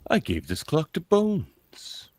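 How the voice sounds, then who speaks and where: A man playing a brisk, commanding older starship captain in an amateur radio play, with theatrical emphasis. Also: a little high, wildly swooping pitch, a little mellow, breathy edge, no echo, full character voice. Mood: astonished.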